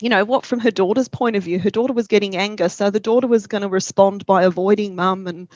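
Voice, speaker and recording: A woman with an Australian accent, a parent helpline counsellor speaking calmly and reflectively in a webinar discussion. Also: mid-range voice, fast, no room sound, lo-fi sound.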